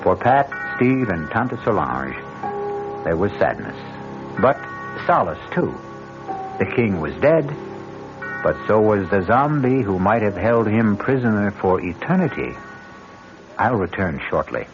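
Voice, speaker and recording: Music plays clearly behind a low male host in a muffled old radio broadcast. He narrates dramatically, with a wide sweep of pitch. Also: sing-song, a little slow, long pauses.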